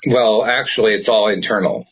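A man's voice: lowish voice, normal speed, a bit bright, husky, lo-fi sound. A man hosting a podcast in casual chat, chatty and laughing often. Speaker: podcast host.

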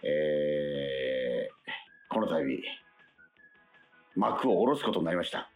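A man hosting a radio show, talking and making announcements in a halting, deliberate way. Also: rumbling low, animated, soft background music. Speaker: radio show host and scriptwriter.

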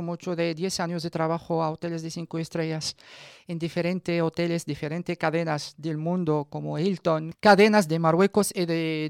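Low, clear-voiced Moroccan woman, halting speech. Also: a little mellow, dry recording, clean studio sound. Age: 30s. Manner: halting, searching for words in non-native Spanish. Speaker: radio guest giving a personal testimony. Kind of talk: personal testimony.

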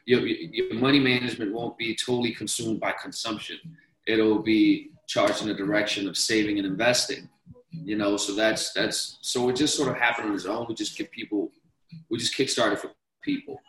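A man's voice: fairly low pitch, steady tone, moderate pace, a bit bright, reverberant, rough recording. A young man from Denmark, a podcast guest talking about investing, who rambles and restarts his sentences often. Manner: rambling, many restarts and repeated words. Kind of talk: casual interview talk.

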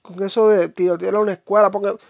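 A Puerto Rican Spanish speaker giving a casual, opinionated monologue to viewers, informal and halting, with slang and English fillers mixed in. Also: mid-range voice, little pitch movement, creaky, dry recording. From Puerto Rico. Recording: dull, lo-fi, dry sound.

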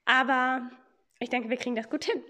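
A young woman who hosts a podcast and teaches yoga, talking in a relaxed way with a slight cold and often asking "ja?" as she explains. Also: high voice, moderate pace. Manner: chatty, many fillers, explains with rhetorical "ja?". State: has a cold.

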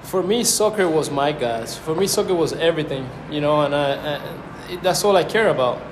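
A man's voice: a little high, animated, normal speed. Reflective young man, roomy noisy recording. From Cuba. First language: Spanish. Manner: reflective, searching for words. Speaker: guest. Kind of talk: casual conversation. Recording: roomy with background noise.

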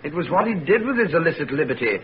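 An actor playing a smug, worldly teenage schoolboy with a sardonic, knowing manner, in 1940s radio acting style. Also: male voice, a little high, sing-song, quick pace. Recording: dull radio recording with faint hiss.

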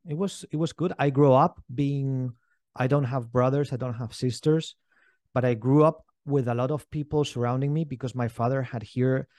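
A man's voice: medium pitch, steady tone, normal speed, darkish tone, no room sound. Man, dry close sound. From Spain. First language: not English. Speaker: podcast guest, entrepreneur. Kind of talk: casual interview storytelling.

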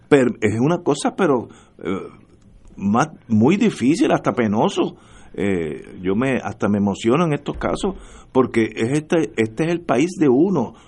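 Husky Puerto Rican radio host, impassioned man. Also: mid-range voice. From Puerto Rico. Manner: emphatic, impassioned, repeats phrases for emphasis. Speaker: radio talk show host. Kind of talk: political commentary.

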